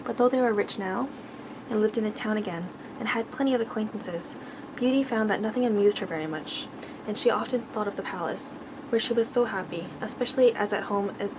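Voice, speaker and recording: A soft-spoken woman gently reading a bedtime story in an ASMR style, measured and now and then stumbling over words. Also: medium pitch, background noise. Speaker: ASMR host reading aloud.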